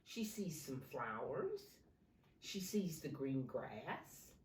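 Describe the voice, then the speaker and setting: A woman teacher reads aloud to young children at a slow pace with very animated pitch. She pauses to explain in simple words and ask questions. The recording is roomy and a little distant from the microphone. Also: a little hiss or hum. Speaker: preschool teacher reading aloud. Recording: echoey room, slightly distant microphone.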